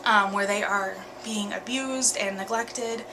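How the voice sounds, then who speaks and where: A young woman, talking candidly in a home vlog, earnest and full of ums and pauses. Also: mid-range voice, noisy background. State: congested with a cold, sniffly. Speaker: vlogger. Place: bedroom at night.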